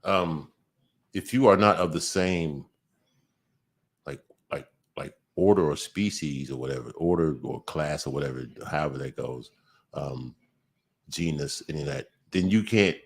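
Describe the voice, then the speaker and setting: A man's voice: rumbling low, a little slow. A man talking casually on a podcast, full of restarts and fillers, with sudden emphatic bursts. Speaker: podcast host. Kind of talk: casual conversation.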